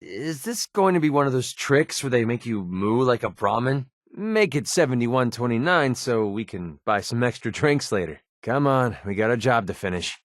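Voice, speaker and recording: A man's voice: medium pitch, lively intonation, moderate pace, few pauses, no room sound, clean studio sound, lightly acted. An American man playing a cynical, wisecracking young mercenary in a video game, with a casual, sarcastic, slangy delivery. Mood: wry. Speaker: video game companion character.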